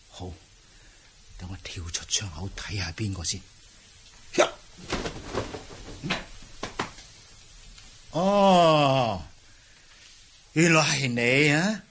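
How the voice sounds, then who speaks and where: A man's voice: medium pitch, a bit bright, a little hiss or hum. A man playing an earnest young nobleman hero in a Cantonese martial-arts radio drama, theatrical and exclamatory. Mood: startled, curious.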